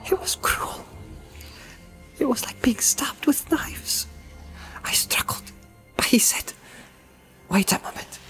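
A man voicing a small, frightened young hobbit in a fantasy storybook, halting and stammering as if badly shaken. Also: very high-pitched, expressive, sharp tone, in a whisper, faint music behind, pitched above the performer's own voice, heavily acted. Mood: anguished. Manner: stammering, halting, breathy and trembling.

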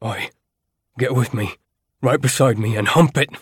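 A man playing a snarling rough brawler barking orders. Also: medium pitch, a little slow, no room sound, studio quality. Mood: urgent, commanding. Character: gruff bellowing male brawler, rough commander. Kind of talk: audiobook character voice.

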